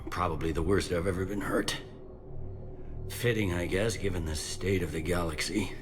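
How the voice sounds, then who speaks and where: Deep-voiced, blunt, weary police commander, acted by a man. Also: fairly even intonation, music in the background, lightly acted. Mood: weary, defiant. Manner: folksy, blunt, halting. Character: plain-spoken, weary, stubborn male police commander. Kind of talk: video game dramatic acting.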